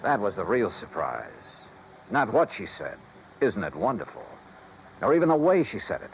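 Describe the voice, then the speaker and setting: A man's voice: fairly low pitch, wildly swooping pitch, moderate pace, vocal fry, slight background noise, exaggerated voice. Hard-boiled male radio investigator, American, wry and suspicious, 1950s noir style, muffled vintage sound. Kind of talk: 1950s radio drama acting. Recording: dull old radio recording, faint music.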